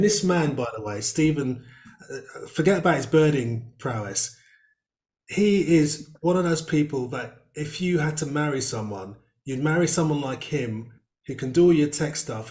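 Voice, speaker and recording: A man's voice: mid-range voice, normal speed, a little mellow, roomy sound. A man hosting a casual video-call interview, chatty and rambling with many fillers. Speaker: host.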